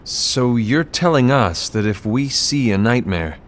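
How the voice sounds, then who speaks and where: An actor playing a serious, suspicious male leader in a fantasy video game cutscene, speaking in a grave, measured way. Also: mid-range voice, animated, natural voice.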